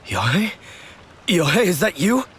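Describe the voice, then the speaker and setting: A man's voice: high voice, big pitch swings, a little slow, fairly bright tone, a little hiss or hum, full character voice. A man voicing a meek, self-doubting would-be swordsman in a video game, earnest and unsure. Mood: dazed, shaken.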